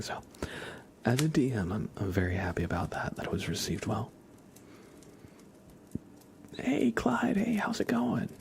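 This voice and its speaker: A man hosting an ASMR livestream, chatting softly and casually in a rambling way, often slipping into a whisper. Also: low voice, vocal fry, a little hiss or hum. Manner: casual, rambling, hesitant with frequent "like". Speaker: livestream host. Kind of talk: soft-spoken ASMR livestream, casual chat.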